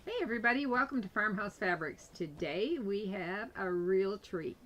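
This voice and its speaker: A woman's voice: medium pitch, normal speed, a bit bright, lo-fi sound, slight background noise. A woman hosting a casual chat, bubbly and joking, often restarting her sentences. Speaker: host.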